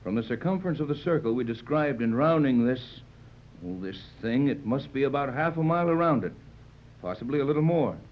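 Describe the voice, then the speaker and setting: An actor playing a steady, plain-spoken yacht captain in a 1930s radio adventure serial. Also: male voice, mid-range voice, lightly acted. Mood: grave, explaining. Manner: measured, plain-spoken, reluctant to alarm. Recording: muffled old 1930s radio recording.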